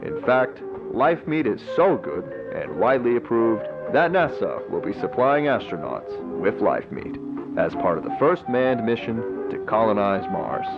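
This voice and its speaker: A man playing a polished, persuasive corporate pitchman narrating a scripted infomercial. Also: very animated, unhurried. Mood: promotional. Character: smooth-talking corporate pitchman for a meat substitute. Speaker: advertising narrator. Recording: dull lo-fi video audio over music. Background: music.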